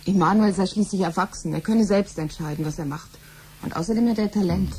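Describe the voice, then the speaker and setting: Mellow, gentle, worried mother. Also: mid-range voice, brisk, slightly rough. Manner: soothing, pleading. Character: gentle, worried middle-aged mother, conciliatory wife. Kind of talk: 1970s German radio play acting.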